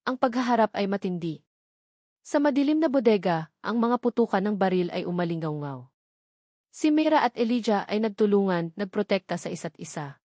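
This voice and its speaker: A woman's voice: medium pitch, big pitch swings, brisk, dark tone, dry recording. Woman narrating a drama, dry close sound. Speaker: story narrator. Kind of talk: scripted dramatic narration of a love story.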